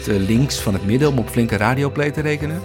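A man's voice: medium pitch, fast. A man with a Netherlands Dutch accent, hosting a music podcast with scripted narration and interview questions.

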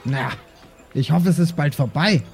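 A man's voice: on the high side, normal speed, gravelly. A man playing a dry, grumbling spectator with an understated manner in an improvised audio play, recorded with background noise. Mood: dry, resigned.

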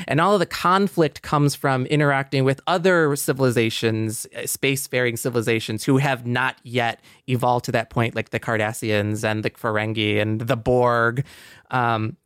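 A male podcast host with a clear, smooth voice, recorded close and dry. He talks in a casual, reflective way and sounds appreciative and agreeable, at a middling pitch. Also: moderate pace, fairly bright tone, no echo.

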